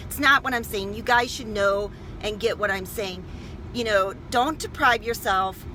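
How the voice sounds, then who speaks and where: Health coach lady chatting to a livestream, emphatic, really bright sharp voice, bit of background noise. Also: mid-range voice, measured pace, rough recording. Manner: emphatic, coaching, checks in with "okay?" and "right?". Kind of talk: informal livestream talk to viewers.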